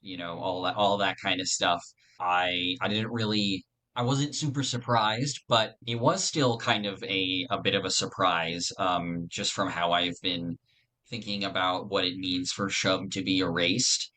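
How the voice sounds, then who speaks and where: A man with a low voice talks casually as a podcast guest. He is thoughtful and somewhat hesitant, rambling as he works through his ideas. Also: steady tone, unhurried, pushed and bright, poor audio. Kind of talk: casual chat.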